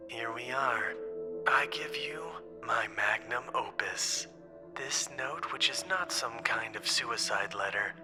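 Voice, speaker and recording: A man playing an isolated, reflective man recording a farewell message, speaking solemnly and deliberately with breathy phrase endings. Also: mid-range voice, natural voice. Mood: resolute, somber. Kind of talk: scripted dramatic monologue, recorded farewell message. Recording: voice over music.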